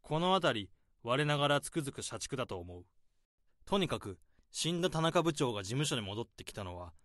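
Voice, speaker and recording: An actor playing a calm, understated, overworked male office worker in a radio drama who also speaks his inner monologue. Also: mid-range voice, fairly quick, no room sound, studio quality. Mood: tense monologue.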